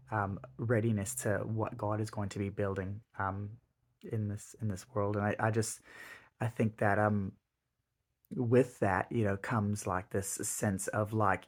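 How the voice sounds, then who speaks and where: A man in his thirties giving his father a heartfelt birthday tribute, earnest and halting with many pauses and restarts. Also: fairly low pitch. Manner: earnest, reflective. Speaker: son.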